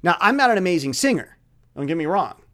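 Excitable man, slightly high voice. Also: fast. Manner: repeats words and phrases emphatically, excitable. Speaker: musician with an online channel. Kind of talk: casual rambling monologue.

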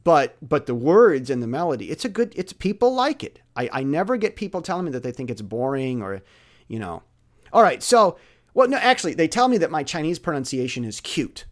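Male, higher-than-average pitch, loose rambling solo talk, excitable delivery with emphatic repetition. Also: fairly quick. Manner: repeats words and phrases emphatically, excitable. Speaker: musician with an online channel. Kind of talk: casual rambling monologue.

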